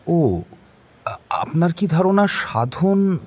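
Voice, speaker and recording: A man's voice: fairly high pitch, sing-song, extremely slow, darkish tone, husky, exaggerated voice. A male actor playing a deferential, eager junior police officer in an audio drama, questioning and slightly hesitant. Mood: curious, impatient.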